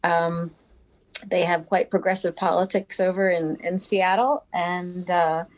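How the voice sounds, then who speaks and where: Woman from the US, guest, lowish voice, hesitant, casual. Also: steady tone, a little slow. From United States. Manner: hesitant, with many fillers. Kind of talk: casual self-introduction.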